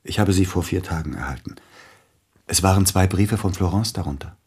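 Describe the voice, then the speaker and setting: A deep, rough-edged male voice plays a bereaved concert pianist in a radio drama. He is formal and hesitant, brooding over his loss, with jealousy pressing through his courtesy. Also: fairly quick, darkish tone, full character voice. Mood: pointed. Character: grieving, jealous widowed male pianist, courteous but probing. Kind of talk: German radio play acting.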